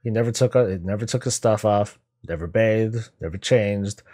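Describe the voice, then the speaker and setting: A man's voice: fairly low pitch, moderate pace, dark tone. A middle-aged man giving casual, musing reaction commentary as a video host, talking conversationally. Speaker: YouTube host reacting to game cinematics.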